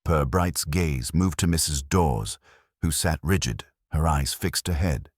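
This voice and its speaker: A man narrating a scripted mystery story in a measured, dramatic, radio-drama storytelling manner. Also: extremely low pitch, no room sound, studio quality.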